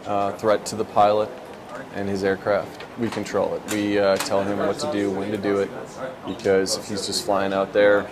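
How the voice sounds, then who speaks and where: A man's voice: fairly low pitch, completely flat delivery, normal speed, crowd noise. A man in his twenties explaining his work as a military air traffic controller in an informal, matter-of-fact interview. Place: indoors in a glass-walled control tower.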